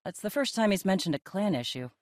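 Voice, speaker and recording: A woman's voice: mid-range voice, lively intonation, fast, a little mellow, no echo, lightly acted. Lady playing a mandalorian boss for a game, tough, dry wit, close dry recording. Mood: thoughtful. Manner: dry, wry, assured. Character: tough, dry-witted female Mandalorian leader. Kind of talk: video game cutscene acting.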